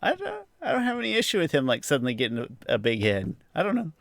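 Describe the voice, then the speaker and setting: Gravelly, bright-voiced man, close and dry. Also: on the high side, huge pitch swings, fast, dry recording. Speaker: podcast co-host. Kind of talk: casual opinionated chat.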